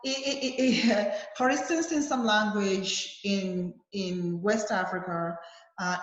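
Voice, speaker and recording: A woman advocate answering interview questions earnestly, with many fillers and restarts, over a video call. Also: medium pitch. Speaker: guest, advocacy leader. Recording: roomy, dull lo-fi sound.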